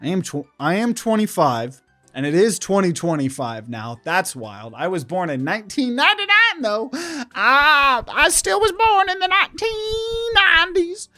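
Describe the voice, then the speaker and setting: Young man, slightly high voice, rambling, lots of fillers, casual vlog to camera. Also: a bit bright. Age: 20s. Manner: rambling, many fillers and restarts. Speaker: content creator. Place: indoor room. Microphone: camera at desk.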